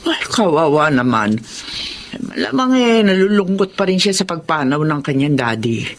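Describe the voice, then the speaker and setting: A woman's voice: deep voice, big pitch swings, moderate pace. A woman acting a sympathetic older mother who gives advice in a Tagalog radio drama. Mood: sympathetic, advising. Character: sympathetic older mother, advising her grown son.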